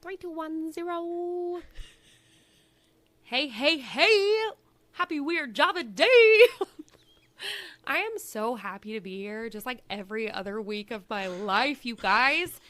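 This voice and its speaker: A woman's voice: high voice, animated, slow, fairly bright tone. Bubbly woman co-hosting a live show. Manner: bubbly, playful, reads details earnestly. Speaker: co-host of a missing persons segment. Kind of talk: casual live show chat.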